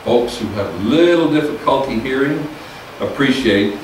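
A man in his sixties, a government teacher, giving a loud, folksy talk to a small audience in a meeting room. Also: medium pitch, lively intonation, normal speed. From US (Idaho). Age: 60s. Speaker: guest speaker, government teacher. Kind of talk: informal speech to a small audience. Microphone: podium mic, heard from afar. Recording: echoey room, distant camera mic, hiss.

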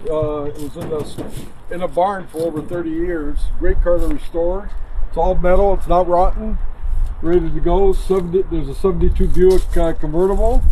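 A man's voice: sits high, background noise. A middle-aged man showing his car collection outdoors, talking in a plain, matter-of-fact way. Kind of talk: casual walkaround. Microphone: camera mic.

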